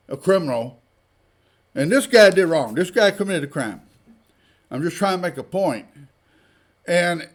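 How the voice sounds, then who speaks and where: A man giving informal, opinionated news commentary in a blunt, folksy, indignant manner, often throwing out rhetorical questions. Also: a little high. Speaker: online commentator.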